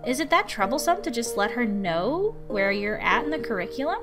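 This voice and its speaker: Woman reading as fed-up dutiful guardian in a visual novel, dry lecturing, lively pitch swings, music behind. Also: fairly quick. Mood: exasperated scolding. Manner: dry, scolding, sardonic.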